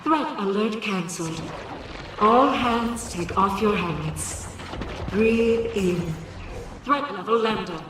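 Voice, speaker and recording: Troubled male station AI for a game: high, formal, clipped, synthetic, heard from far off in heavy echo. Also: slow pace, background noise. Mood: flat, official. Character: troubled, duty-bound station artificial intelligence, male. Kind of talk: video game character acting. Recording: very echoey, distant, music underneath.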